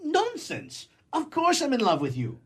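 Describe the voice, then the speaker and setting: A man's voice: very high voice, wildly swooping pitch, measured pace, fairly bright tone, full character voice. A man acting a love-struck, cynical young man in a comedy radio play, theatrical and florid, blustering and stammering. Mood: defensive.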